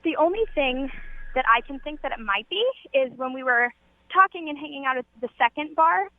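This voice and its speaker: High-voiced young woman on a tinny phone. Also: expressive, brisk, no echo. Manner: giggly, girlish, gushing. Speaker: radio show caller. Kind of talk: casual chat. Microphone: telephone. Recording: narrow tinny phone line.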